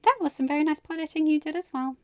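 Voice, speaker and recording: A woman voicing a brisk, capable female fighter in a higher, brighter voice. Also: no room sound, dull audio, close to an everyday voice. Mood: eager. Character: Padme, skilled woman fighter, brisk and warm.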